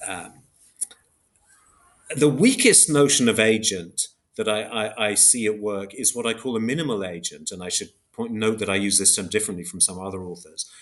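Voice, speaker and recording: Male philosopher guest, lowish voice, careful and exact, restarts phrases while laying out definitions. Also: normal speed, fairly bright tone. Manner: hesitant, precise, self-correcting. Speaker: philosopher guest, book author. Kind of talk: academic interview, explaining concepts.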